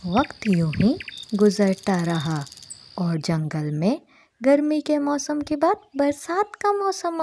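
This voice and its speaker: A woman's voice: medium pitch, a little mellow, a little hiss or hum. A woman narrating a scripted moral story for children as a storyteller.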